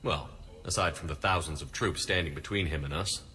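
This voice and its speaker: Deep, brisk, hard-nosed military commander. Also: male voice, pushed and bright. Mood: brisk, blunt. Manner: terse, gruff, no-nonsense. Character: hard-nosed, blunt male military commander, game hero. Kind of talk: dramatic video game acting.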